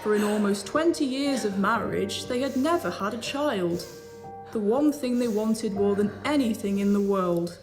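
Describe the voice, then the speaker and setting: A woman's voice: medium pitch, expressive, moderate pace. A woman narrating a scripted fairy tale as the storyteller of a pantomime. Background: music.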